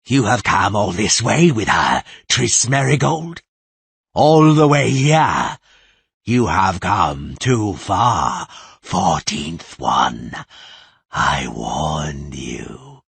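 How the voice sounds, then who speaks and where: A man voicing a cold, hostile, inhuman presence that speaks with a metallic sneer, slow and menacing. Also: animated, gravelly, fairly bright tone, husky, no room sound, heavily acted. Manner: slow, drawn-out, booming threats.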